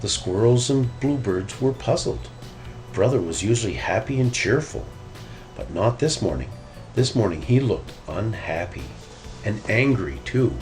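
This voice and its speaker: Animated man reading a children's story. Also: fairly low pitch, unhurried, faint music behind, rough recording. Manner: expressive read-aloud delivery. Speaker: storybook reader. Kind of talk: scripted children's story narration.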